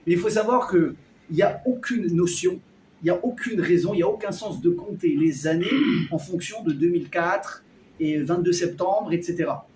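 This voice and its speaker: Animated rabbi with a high voice. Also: male voice, normal speed, slight background noise. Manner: animated, jokey, quizzes the audience. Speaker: rabbi giving a class. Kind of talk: lively Torah class with audience. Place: hall with a live audience. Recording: echoey room, mic at a distance.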